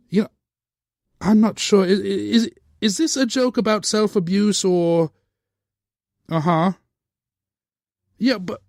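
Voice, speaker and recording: An actor playing a pompous narrator of a comedic radio play, given to florid storytelling and fussy asides. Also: male voice, high voice, dry recording, clean studio sound, full character voice. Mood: flustered. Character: pompous, easily sidetracked radio-play narrator, smugly tactless.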